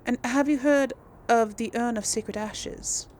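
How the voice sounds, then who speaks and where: A woman's voice: fairly high pitch, unhurried, darkish tone, slight background noise. A woman giving casual game commentary, musing aloud as she plays and role-plays dialogue. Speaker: gamer, series host.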